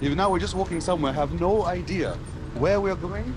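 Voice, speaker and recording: A man's voice: sits high, brisk, background noise. A man from Kenya and Switzerland, a travel vlogger, chatting casually on camera while eating outdoors and at a restaurant, listing flavors as he goes. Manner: enthusiastic, eats while talking, lists flavors. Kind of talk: casual on-camera food tasting.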